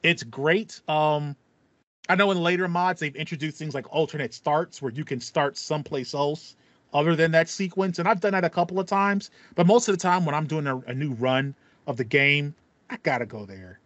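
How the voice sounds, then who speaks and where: Higher-pitched man, casual podcast chat. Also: moderate pace, fairly bright tone, dry recording, dull audio. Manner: enthusiastic, repeats phrases for emphasis. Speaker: podcast co-host. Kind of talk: casual chat about video games. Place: small home room with foam panels, indoors. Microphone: headset on a video call.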